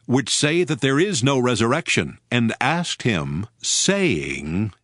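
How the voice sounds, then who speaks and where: A man narrating scripture from a script, with formal, measured phrasing. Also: mid-range voice, big pitch swings, fairly bright tone, no echo, clean studio sound.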